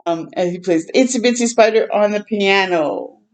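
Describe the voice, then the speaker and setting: A woman hosting a children's storytime, reading a picture book aloud in a gentle, expressive way with tender asides to listeners. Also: medium pitch, normal speed. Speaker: children's read-aloud host.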